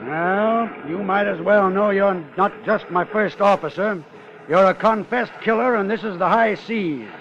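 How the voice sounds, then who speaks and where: An actor playing a stern, shrewd whaling captain, gruff and curt, in 1950s radio drama style. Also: male voice, high voice, a little slow, a bit bright. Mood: stern, warning. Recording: muffled old radio recording.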